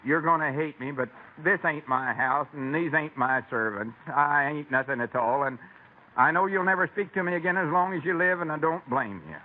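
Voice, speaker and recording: A man's voice: a little high, normal speed, phone-quality sound, close to an everyday voice. Man acting a countrified suitor, thin radio sound. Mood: pleading. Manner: folksy grammar with put-on courtliness. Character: countrified suitor posing as gentleman, bossy yet bashful. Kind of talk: 1940s radio comedy acting. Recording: thin, noisy old radio recording.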